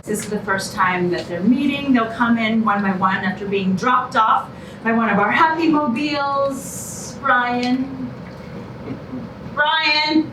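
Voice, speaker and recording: In a roomy, noisy recording, an actress plays a bossy, exasperated female TV producer in a stage comedy. Her high voice gives orders at a deliberate pace. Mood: businesslike. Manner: commanding, exasperated. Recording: echoey, noisy room, distant microphone.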